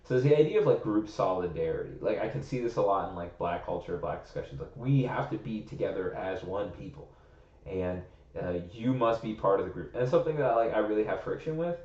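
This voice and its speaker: A man's voice: on the low side, expressive, brisk, warm tone. A young American man co-hosting a podcast, rambling and thinking aloud in casual chat, leaning hard on "like.". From United States. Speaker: podcast co-host. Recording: roomy, slightly muffled recording.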